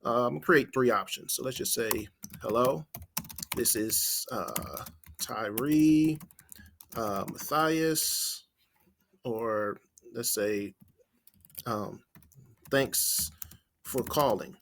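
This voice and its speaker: Man giving an informal software walkthrough. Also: mid-range voice, takes it slowly, creaky, pauses often, a little hiss or hum. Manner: step-by-step, explanatory, thinking aloud while typing. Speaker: marketing trainer. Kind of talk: informal screen-share software tutorial.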